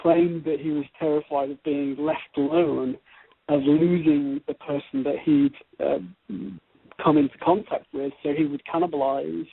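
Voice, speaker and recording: A man with a husky, creaky voice co-hosting a conversational discussion, halting and repeating phrases for emphasis. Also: a little high, level tone, dry recording. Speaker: show co-host. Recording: narrow phone-like radio sound.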